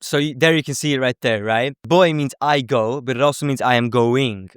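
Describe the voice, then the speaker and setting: Male language teacher, mid-pitched, informal explainer, conversational with frequent check-ins, very dry recording. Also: brisk, smooth, no room sound. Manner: conversational, often checks in with okay and right.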